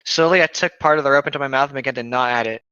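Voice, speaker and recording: Male co-host, forceful clear tone, casual story reading and banter, slightly dull dry recording. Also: medium pitch, racing, no room sound. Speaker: co-host of a comedic fan fiction reading show. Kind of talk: casual read-aloud with commentary.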